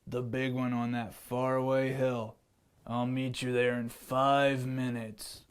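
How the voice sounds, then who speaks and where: A man voicing a stern male lion who teaches lessons, speaking low, slow and unimpressed. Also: little pitch movement, clean tone, lightly acted.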